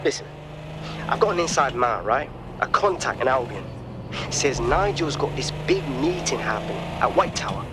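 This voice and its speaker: An actor playing a paranoid, defiant young British hacker in a video game, sweary and sarcastic. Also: male voice, mid-range voice, animated, moderate pace, natural voice. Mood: guarded, explanatory. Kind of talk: video game cutscene acting. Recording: clearly noisy game sound effects behind.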